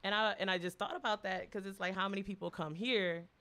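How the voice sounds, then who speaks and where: Host, thirties, mid-pitched, laid-back storyteller in casual talk. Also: steady tone, fast talker, bright, forceful tone. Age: 30s. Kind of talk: casual chat.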